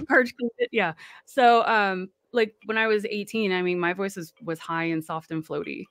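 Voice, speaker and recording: A woman with a self-described deep voice, speaking as a guest on a livestream panel and calling out critics with passion. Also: steady tone, a little slow, no echo, clean studio sound. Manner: impassioned, speaks out forcefully.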